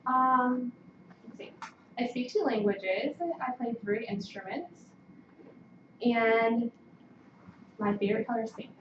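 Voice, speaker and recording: A woman's voice: a little high, slow, pauses often. A young American woman chairing a student meeting in an informal, conversational way. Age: young adult. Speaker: student government committee chair. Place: meeting room. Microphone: distant room microphone. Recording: distant muffled mic, echoey room, noisy.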